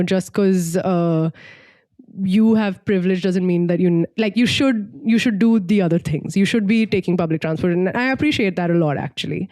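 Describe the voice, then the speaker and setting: A woman's voice: medium pitch, dark tone, no room sound. A young woman from Singapore chatting casually and reflectively as a podcast co-host, in an informal way peppered with "like".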